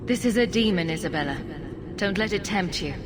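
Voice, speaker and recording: An actress playing a calm, diplomatic heroine in a fantasy video game, speaking in a measured, gentle way. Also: female voice, mid-range voice, close to an everyday voice. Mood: firm, calm. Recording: noisy game ambience.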